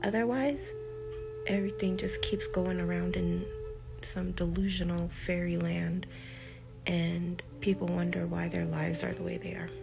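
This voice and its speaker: An older woman, a healer, speaking in a slow, deliberate monologue, stressing her points by repeating them and letting phrases trail off. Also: lowish voice, fairly even intonation, creaky. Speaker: healer giving a talk. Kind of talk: reflective spoken monologue. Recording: music behind the voice.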